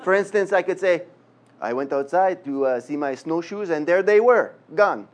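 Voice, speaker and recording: A man's voice: sits high, normal speed. A middle-aged man from Quebec with a Canadian accent, preaching a conversational sermon with self-deprecating humor. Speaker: guest preacher. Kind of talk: sermon with jokes and prayer.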